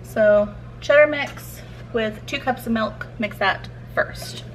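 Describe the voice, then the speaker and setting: A woman vlogging casually while sick, her voice raspy and hoarse, tired and short of breath, with small laughs between sentences. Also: on the high side, takes it slowly, slight background noise, rough recording. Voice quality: raspy, weak voice. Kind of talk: casual vlog chat.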